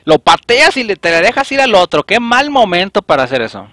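A man's voice: sits high, a little fast, no echo, clean studio sound. A young man with a Mexican accent giving joking, casual commentary while streaming a game and talking to his chat. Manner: joking, animated, talks to chat. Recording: music playing behind voice.

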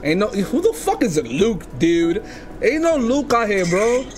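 Pushy high-voiced young man on a prank call. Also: very animated, measured pace. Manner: pushy, demanding, streetwise slang, bursts into laughter. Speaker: streamer making prank calls. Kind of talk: prank phone calls. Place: home streaming room, indoors. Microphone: headset.

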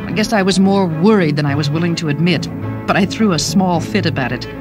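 Gushing, confiding young mother played by a woman, lowish register, radio drama monologue over music. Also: brisk, close to an everyday voice. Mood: confiding, weary. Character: doting, chatty young mother writing letters.

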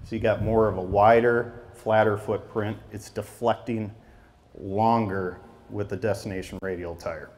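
A man's voice: on the low side, steady tone, a little slow, a little mellow. A man in his thirties, a regional tire sales manager, giving a product talk into a handheld mic at an outdoor trade expo, explaining steadily with frequent ums. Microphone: handheld microphone.

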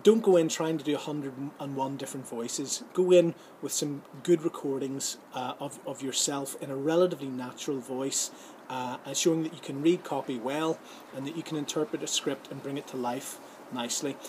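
A middle-aged man giving informal advice to camera in a halting, conversational way, recorded outdoors. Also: medium pitch, steady tone, a little mellow. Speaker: host giving voice-over advice. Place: outdoors in a sunny garden or patio. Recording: outdoor ambient background noise.

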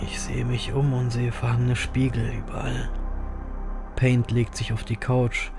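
A weary, wry reaper narrating a noir horror radio play, played by a man. His mid-pitched voice is gravelly and brooding, with music clearly behind it. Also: completely flat delivery, unhurried. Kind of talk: scripted first-person noir narration, German audio drama.

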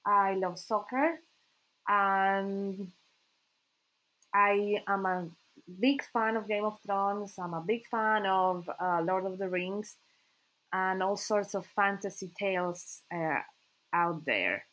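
A woman with a Colombian accent and Spanish as her native language, introducing herself informally as a podcast host, with hesitant, pause-filled delivery. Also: medium pitch, a bit bright, low-quality recording.

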